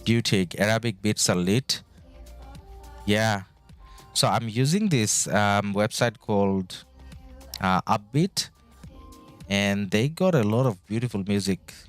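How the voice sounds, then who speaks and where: A man's voice: lowish voice, slow pace, long pauses. A man from Bangladesh whose first language is Bengali, chatting casually while streaming a strategy game and answering viewers' chat in a rambling way. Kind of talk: casual live-stream commentary. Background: background music.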